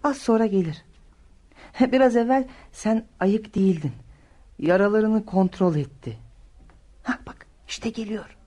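A woman's voice: mid-range voice, a little slow, pauses often, mellow, natural voice. A woman playing a devoted, anxious and reverent child of an ailing father in a radio play. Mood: soft, reverent. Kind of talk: Turkish radio theatre acting.

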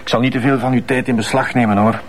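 A man with a Flemish accent playing a smooth-talking, flirtatious and patronising lawyer in a crime drama. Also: medium pitch, steady tone, quick pace, slight background noise, lightly acted. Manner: suave, formal, patronising. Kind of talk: acted drama dialogue.

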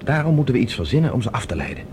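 A man's voice: medium pitch, very animated, rapid-fire, background noise. A man playing a decisive professor in a science fiction radio drama, speaking with urgent, analytical authority. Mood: calculating, urgent. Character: decisive male professor plotting as captive space traveller.

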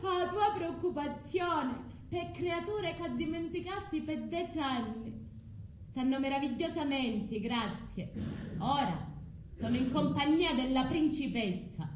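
A betrayed wife and mother bent on revenge in a stage tragedy. She speaks slowly and deliberately, with cold, taunting scorn, in an echoey space. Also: high voice, animated. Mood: mocking, bitter. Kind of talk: theatrical tragic monologue. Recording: roomy stage, faint background noise.

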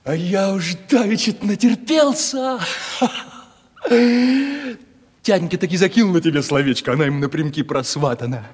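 Husky, lovelorn young merchant's son. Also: male voice, a little high, fairly quick. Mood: agitated, hurt. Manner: folksy provincial merchant speech, animated storytelling. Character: lovelorn young merchant's son, browbeaten, gloomy yet boastful. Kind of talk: 1970s Russian radio play stage acting. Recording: reverberant studio radio play recording.

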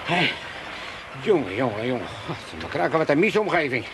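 High-voiced grumbling old father. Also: very animated, brisk, over music. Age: older. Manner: grumbling, repetitive, wry. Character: grumbling, cheeky old father, stubborn and wisecracking. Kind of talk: comedy radio play acting.

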